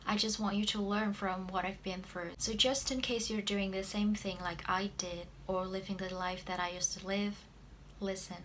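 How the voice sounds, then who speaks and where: A woman's voice: mid-range voice, fairly even intonation, moderate pace, fairly bright tone, a little hiss or hum. A woman in her twenties with an Indonesian accent, telling a personal story to camera in a room indoors. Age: 20s. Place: small plain room indoors.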